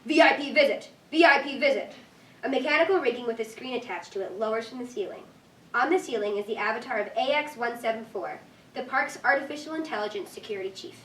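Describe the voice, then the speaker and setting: High-voiced woman narrating in an echoey room. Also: quick pace, a bit bright. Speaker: narrator reading stage directions. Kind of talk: scripted narration at a staged reading. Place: room with live reading. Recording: echoey room, distant mic, faint noise.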